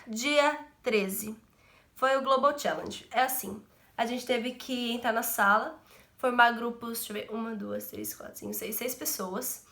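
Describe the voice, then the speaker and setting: A woman's voice: on the high side, fairly bright tone. A seventeen-year-old woman from Brazil, chatting casually to camera in her bedroom, nasal from a cold and self-deprecating. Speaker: vlogger.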